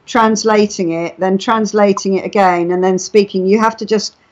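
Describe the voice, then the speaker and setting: A woman's voice: medium pitch, fairly even intonation, normal speed. Chatty woman on dull lo-fi audio. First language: English. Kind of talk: casual live chat, storytelling. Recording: dull lo-fi internet chat audio.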